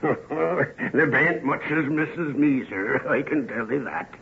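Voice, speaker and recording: An actor playing a gossipy West Country villager telling dark tales in an old radio drama. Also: male voice, medium pitch, slow pace, creaky. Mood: gossipy, sly. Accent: English West Country. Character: gossipy rustic English villager, a man, full of dark tales. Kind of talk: old-time radio drama acting, storytelling. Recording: tinny, hissy old radio drama recording.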